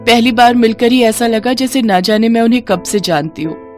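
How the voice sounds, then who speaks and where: A woman's voice: fairly high pitch, normal speed, a little mellow, faint music behind, voice pushed up, lightly acted. A woman voicing a determined young rich bride who speaks eagerly, mixing in English words. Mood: musing.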